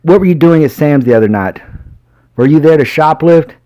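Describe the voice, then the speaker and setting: A man doing a gruff, taunting old sheriff. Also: mid-range voice, normal speed, warm tone, no echo, voice pushed up, lightly acted. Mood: accusing. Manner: taunting, accusing questions. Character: gruff older male small-town sheriff, menacing interrogator.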